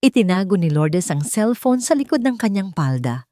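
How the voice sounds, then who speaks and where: A woman's voice: medium pitch, very animated, moderate pace, mellow, no room sound, clean studio sound. A woman narrating a scripted story in a dramatic storytelling style.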